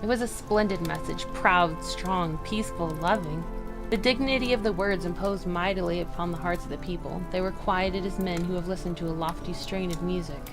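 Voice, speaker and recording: A woman's voice: fairly low pitch, a little fast, over music. A woman narrating a classic story in a dramatic storybook style.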